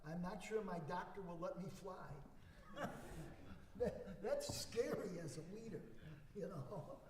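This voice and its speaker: A man on an alumni panel who speaks earnestly, with a loud voice and frequent repeated words. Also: high-pitched, takes it slowly, background noise. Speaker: alumni panelist, former bandleader. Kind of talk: panel discussion. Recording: echoey hall.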